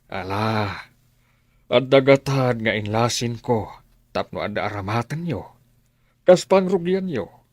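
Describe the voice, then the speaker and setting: A rich elder patron in an Ilocano radio drama. He counsels slowly and deliberately with an Ilocano accent, his pitch moving widely. The recording is dry and close. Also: animated, no echo. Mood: genial. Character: wealthy elder benefactor giving measured business advice.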